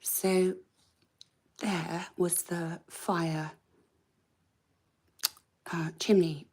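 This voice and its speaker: Croaky whispering woman in an attic. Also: fairly low pitch, flat delivery, drawn-out words. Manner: soft, hesitant, trailing off with ums. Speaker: home renovation vlogger. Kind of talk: casual walk-through tour. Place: indoors in a cramped attic.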